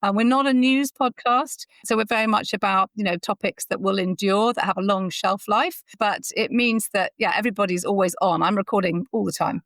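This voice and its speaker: A woman's voice: medium pitch, fairly quick, few pauses, a bit bright, no echo. A woman speaking as an interview guest in an articulate, enthusiastic, conversational way. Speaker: interview guest, founder of an audio training platform.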